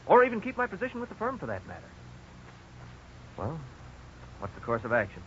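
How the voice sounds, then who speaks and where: A man's voice: on the high side, huge pitch swings, a little fast. An actor playing an anxious but earnest man in his twenties in debt, in a 1950s radio drama style, hesitant and exclamatory when troubled. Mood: worried, frustrated. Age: 20s. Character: worried young debtor and husband, twenty-six, anxious but earnest. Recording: dull, noisy 1950s radio recording.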